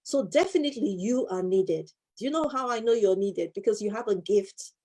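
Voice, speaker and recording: A woman's voice: mid-range voice, moderate pace, darkish tone. A woman in her fifties, a life coach and former solicitor, giving an earnest motivational talk and repeating her key phrases for emphasis.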